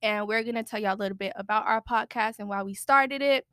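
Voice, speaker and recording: A bubbly young woman in her twenties co-hosts a casual chat podcast. Her voice is bright and forceful, a little nervous and eager. She sounds close and dry, with no room around her. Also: mid-range voice, fast talker, smooth, no echo. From United States. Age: 20s. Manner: excitable, bubbly, chatty, a bit nervous. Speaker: podcast co-host.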